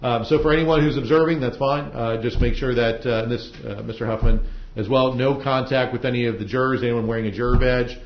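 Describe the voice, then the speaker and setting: A man's voice: medium pitch, steady tone, a little fast. A man speaking as a judge, giving informal, plain-spoken instructions in a courtroom, explaining things step by step. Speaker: judge presiding over a trial. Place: indoor courtroom. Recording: roomy, dull courtroom audio.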